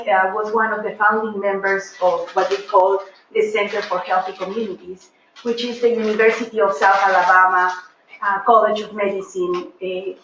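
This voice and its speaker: A woman's voice: mid-range voice, a bit bright, slight background noise. Middle-aged Peruvian woman lecturer, earnest and thoughtful, hesitant restarts, very echoey lecture room, dull lo-fi sound. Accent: Peruvian Spanish. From Peru. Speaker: professor, lecturer. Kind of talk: academic lecture to a seminar room.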